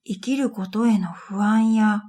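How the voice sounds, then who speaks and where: A woman reading a scripted first-person story in a reflective, measured way, as narrator of an audio drama. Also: medium pitch, mellow. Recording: background music under the voice. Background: music.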